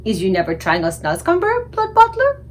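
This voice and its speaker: A woman's voice: fairly high pitch, huge pitch swings, normal speed, slight background noise. A woman voicing a kindly, plucky male giant who speaks earnestly in muddled grammar, in a storybook read-aloud. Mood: eager coaxing. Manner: earnest, garbled childlike grammar.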